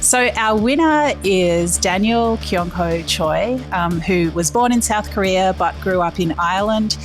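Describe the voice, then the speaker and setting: A woman's voice: mid-range voice, expressive, a little slow, faint music behind. A woman hosting a food podcast, introducing and questioning her guest enthusiastically. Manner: enthusiastic, friendly, some fillers. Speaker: podcast host. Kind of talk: podcast interview.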